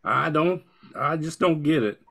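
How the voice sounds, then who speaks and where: A man's voice: on the high side, expressive, unhurried, vocal fry, fairly bright tone, husky, heavily acted. A man playing a plain-spoken father in an amateur radio play. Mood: matter-of-fact. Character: plain-spoken family man, Joey's daddy.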